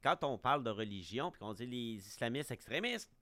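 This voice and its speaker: Homme québécois, ton appuyé et argumentateur. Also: male voice, mid-range voice, animated, a little fast, bright, forceful tone, no echo. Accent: Québécois French. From Québec. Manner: emphatic, argumentative, repeats words. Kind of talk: opinionated talk radio chat.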